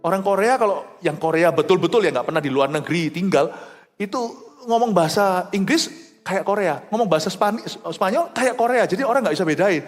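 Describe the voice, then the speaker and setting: A male pastor preaching a sermon with fervour, swinging from loud exclamations to low quiet asides and mixing English words into his talk. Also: animated, fairly quick. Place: large rooftop church venue. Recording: live hall reverb with faint music.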